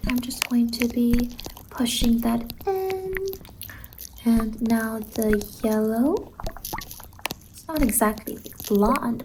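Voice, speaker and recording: Slow, soft-spoken whimsical ASMR salon stylist played by a woman. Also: fairly high pitch, noisy background, close to an everyday voice. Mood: soothing, attentive. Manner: gentle, reassuring, drawn-out words. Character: whimsical, reassuring female fever-dream hair salon stylist.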